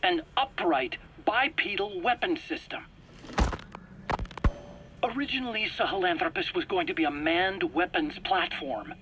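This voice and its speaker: High-voiced, anxious, defensive scientist. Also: male voice, expressive, a little slow, muffled recording, background noise. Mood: proud explaining. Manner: pleading, stammering, indignant. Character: anxious, self-pitying, defensive male weapons scientist. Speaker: accused scientist under interrogation. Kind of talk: dramatic video game cutscene acting.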